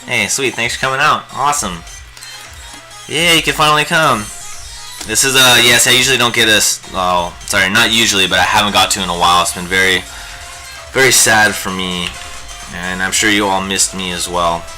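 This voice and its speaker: A man's voice: on the low side, fairly quick, pushed and bright, soft background music. A young man hosting a live painting stream, chatting in a rambling, jokey way while he works. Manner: rambling and jokey, lots of fillers. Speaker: livestream host teaching digital painting.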